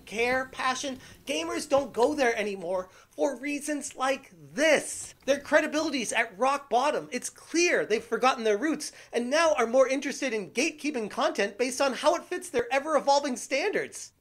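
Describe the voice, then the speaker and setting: A man's voice: very high voice, lively intonation, moderate pace, hardly any pauses, fairly bright tone. A man making an opinionated commentary video, speaking in an emphatic, complaining way. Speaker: YouTube commentator.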